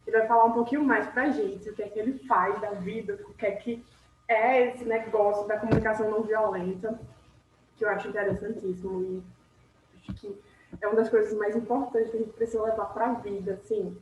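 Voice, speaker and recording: A woman's voice: mid-range voice, fairly even intonation, fairly quick. A woman hosting an online talk, introducing the guest in a casual, chatty way with many fillers. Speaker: event host, student. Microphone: video call. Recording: roomy, dull video call audio with faint noise.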